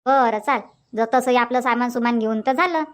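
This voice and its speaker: A woman's voice: a little high, brisk, natural voice. A woman voicing a chatty older village aunt in a Marathi cartoon comedy, scolding and motherly, with a Varhadi accent from Vidarbha. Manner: scolding, motherly, repeats herself.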